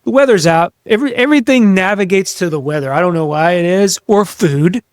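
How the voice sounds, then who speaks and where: A man hosting an instructional podcast as a coach, speaking conversationally and emphatically with deliberate pauses. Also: high voice, dry recording, clean studio sound. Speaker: podcast host and leadership coach. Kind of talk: instructional solo podcast talk.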